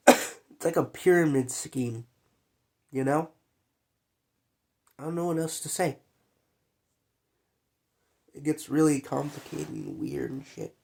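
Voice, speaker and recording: A man's voice: fairly high pitch, big pitch swings, takes it slowly, vocal fry, long pauses, darkish tone, slightly rough. Sick young man rambling casually. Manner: loose, meandering, slangy with frequent fillers. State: sick, occasional coughing. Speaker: vlogger.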